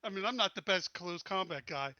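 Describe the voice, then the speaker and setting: A man's voice: sits high, lively intonation, fairly quick, pushed and bright, dry recording, lo-fi sound. A man playing a tabletop roleplaying game, casually retelling his character's thinking with swearing and acted-out asides.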